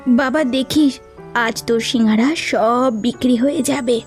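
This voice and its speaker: A woman voicing a devout, gentle village mother in a cartoon story, reassuring her son. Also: fairly high pitch, a little slow, faint music behind, voice pushed up, natural voice.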